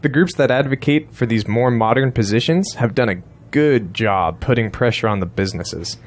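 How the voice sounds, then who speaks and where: A man's voice: mid-range voice, normal speed, natural voice. A man playing a blunt, persuasive political strategist in an audio drama, insistent and lecturing.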